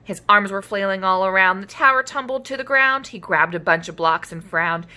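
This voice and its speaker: A woman hosting story time, reading a children's picture book aloud in an animated storytelling style. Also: medium pitch, moderate pace.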